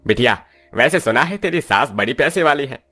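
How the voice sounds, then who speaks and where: A man's voice: mid-range voice, expressive, normal speed, sharp tone. A man voicing a kindly older village uncle in a moral story cartoon, affectionate and given to blessings.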